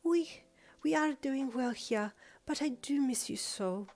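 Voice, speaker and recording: Woman doing a slow, high mum. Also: darkish tone. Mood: longing. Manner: slow, longing, affectionate. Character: affectionate French mother on the phone.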